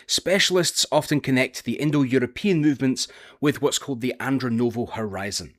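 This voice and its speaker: A man with a Scottish accent, presenting a scripted history video in a careful, explanatory way. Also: medium pitch. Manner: careful, explanatory, with occasional filler "uh". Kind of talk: scripted educational narration.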